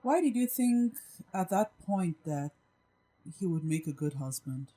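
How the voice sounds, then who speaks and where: A woman playing a gracious, composed and caring office boss in an audio drama, politely attentive and gently probing. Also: lowish voice, expressive, unhurried, breathy edge. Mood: concerned, probing. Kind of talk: acted audio drama dialogue.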